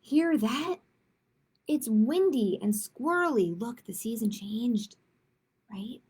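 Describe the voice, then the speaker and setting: A woman's voice: fairly high pitch, expressive, slow pace, a little mellow, husky. A woman hosting a children's storytime, reading picture books aloud expressively and adding simple chatty asides with little check-in questions. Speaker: library storytime host.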